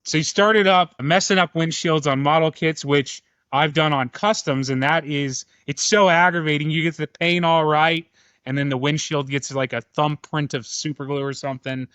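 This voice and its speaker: A man's voice: a little high, fairly even intonation, normal speed, fairly bright tone, clean tone, no echo, muffled recording. A man hosting a podcast interview, speaking with enthusiasm and asking eager questions. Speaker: podcast host.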